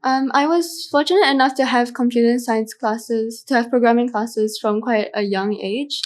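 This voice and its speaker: A woman's voice: a little high, moderate pace. A young woman from Malaysia, a student guest in an interview, answering thoughtfully with frequent fillers.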